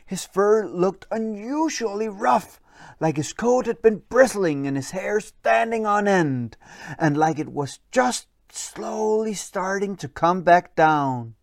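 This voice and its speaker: A storyteller narrating a children's bedtime story in an expressive, deliberate way. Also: medium pitch, dry recording, clean studio sound.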